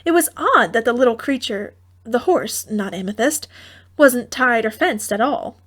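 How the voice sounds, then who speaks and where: A woman reads a scripted fairy tale as an audiobook narrator. Her voice is fairly high, and her storytelling is theatrical and droll, with wry asides. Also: lively intonation, measured pace, a bit bright.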